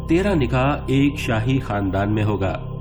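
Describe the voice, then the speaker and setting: An actor playing a showy quiz show host in a radio play, teasing and polite, announcing theatrically. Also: male voice, medium pitch, lively intonation, a little slow, lightly acted. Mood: upbeat. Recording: music under the voice. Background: music.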